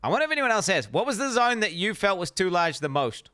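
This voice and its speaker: NZ-accented male streamer, profane and rambling, crisp clear tone. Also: high-pitched, sing-song, quick pace, bright, forceful tone, no echo, clean studio sound. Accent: New Zealand. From New Zealand. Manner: blunt, profane, rambling with many restarts. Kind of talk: casual reaction stream commentary.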